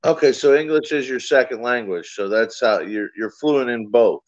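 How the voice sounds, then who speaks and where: A man's voice: medium pitch, moderate pace, dry recording, lo-fi sound. A man on a livestream panel, calling in by video and asking the guest short, curious questions. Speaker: panel member. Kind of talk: casual livestream chat.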